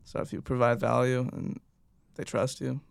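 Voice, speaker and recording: A man's voice: medium pitch, flat delivery, normal speed, gravelly, no room sound. A young man who is a personal trainer, answering interview questions in an earnest, agreeable way. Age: young adult. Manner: earnest, agreeable, frequent "yeah".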